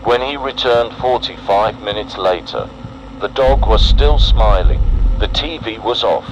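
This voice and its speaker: A man with a fairly deep voice delivers a scripted emergency warning in analog horror style. His delivery is solemn, measured in tone and ominous. The recording carries obvious background noise. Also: highs cut off. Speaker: narrator.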